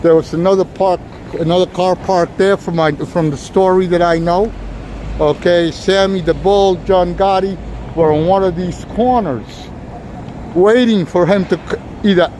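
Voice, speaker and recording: A man's voice: high voice, normal speed, a little mellow. Chatty New man on a street. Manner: chatty, rambling, talks to viewers. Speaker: walking tour vlogger. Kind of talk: casual livestream walking tour. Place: outdoors on a busy city street. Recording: noisy street recording. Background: street traffic noise.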